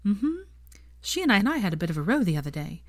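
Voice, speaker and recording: A woman reading an audiobook voices a pregnant young woman, prim and earnest, with a soft, lilting delivery. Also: medium pitch, very animated, quick pace, dry recording, clean studio sound, voice pushed up. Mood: amused. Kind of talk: acted audiobook dialogue.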